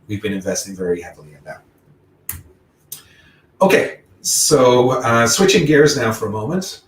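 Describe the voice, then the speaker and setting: A man's voice: fairly low pitch, roomy sound, distant mic, slight background noise, rough recording. A man with a mixed Irish, Welsh, English and American accent, giving a technical talk as guest speaker and explaining ideas step by step. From Ireland, Wales, England and the United States. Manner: explanatory, walking through examples step by step.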